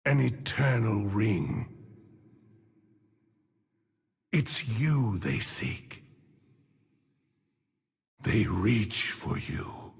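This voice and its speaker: An actor playing a weary, solemn monk turned necromancer in a video game, with a gravelly, breathy low voice and slow, brooding delivery. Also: male voice, big pitch swings, heavily acted. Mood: ominous. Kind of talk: video game champion voice lines. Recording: long cavernous reverb on the voice.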